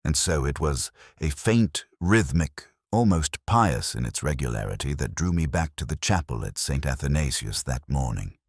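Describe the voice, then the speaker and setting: A man reading a scripted first-person detective story as narrator, in a measured, reflective, literary manner. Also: rumbling low, big pitch swings, breathy edge, no echo, clean studio sound.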